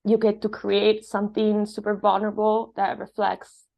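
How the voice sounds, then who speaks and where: A woman who was born in Argentina and grew up in Spain and Italy answers as a podcast guest. Her mid-range voice has plenty of creak, and she talks in an earnest, reflective, conversational manner. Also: medium pitch, completely flat delivery, moderate pace, a little mellow. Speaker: guest, dance filmmaker. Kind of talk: conversational interview, personal reflection.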